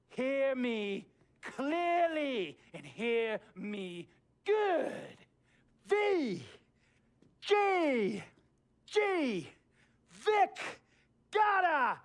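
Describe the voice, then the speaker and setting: An actor playing a flamboyant, bullying billionaire who owns a basketball team, theatrical and preachy, in a video game cutscene. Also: male voice, very high voice, sing-song, drawn-out words, long pauses, full character voice. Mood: furious, commanding. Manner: theatrical, preachy, builds from hushed to shouting.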